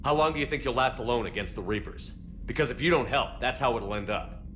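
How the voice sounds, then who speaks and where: An actor playing a steady human military commander in a video game, firm, measured and diplomatic. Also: male voice, medium pitch, soft background music, lightly acted. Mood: forceful.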